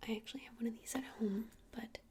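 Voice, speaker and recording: A woman whispering breathily and softly in an unhurried, hesitant ASMR roleplay as a gentle crystal shop witch, close to the microphone. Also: fairly high pitch, steady tone, a little hiss or hum. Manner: hesitant, unhurried, soft-spoken. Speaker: ASMR performer playing a witch shopkeeper.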